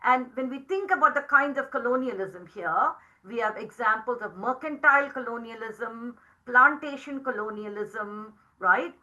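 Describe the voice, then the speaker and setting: A middle-aged woman whose first language is Tamil, giving an academic lecture to teachers in a measured, explanatory way, often adding tag questions. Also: fairly high pitch, bright, forceful tone, rough recording.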